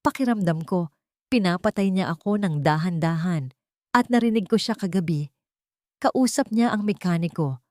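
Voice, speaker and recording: A woman narrator plays a frightened wife who is dying and leaving a final message in an audio drama. She sounds tired and weak, pleading with quiet fear. The recording is dry. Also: lowish voice, lively intonation, moderate pace, no room sound, close to an everyday voice. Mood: weak, fearful.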